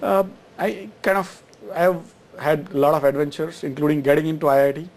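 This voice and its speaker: A man's voice: fairly high pitch, steady tone, fairly quick, gravelly, darkish tone. Hesitant man, faint noise. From Gujarat, India. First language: Gujarati. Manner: hesitant, many fillers and restarts. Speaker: guest, exchange chief executive. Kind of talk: reflective interview answers.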